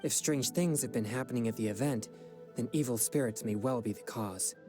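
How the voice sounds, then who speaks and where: A man voices a young exorcist from a fantasy game. He speaks formally and courteously, earnest and quick to apologise, at a middling pitch. Music plays clearly behind him. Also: close to an everyday voice. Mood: earnest, polite. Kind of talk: video game voice acting.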